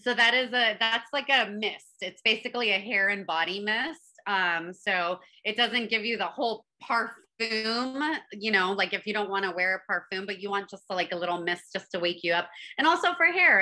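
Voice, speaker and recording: A woman, talking casually as an interview guest about her business, with lots of fillers and restarts. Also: mid-range voice. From born in Afghanistan, raised in Northern California. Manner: relaxed, chatty, many fillers and restarts. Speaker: guest, candle brand founder.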